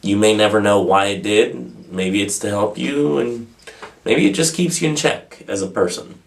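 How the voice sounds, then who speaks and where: Male vlog host, low-pitched voice, unscripted and informal, meandering and modest about himself. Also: normal speed, gravelly. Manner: informal, rambling, self-deprecating. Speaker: host of a pagan question-and-answer video channel. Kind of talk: casual, unscripted answering of viewer questions.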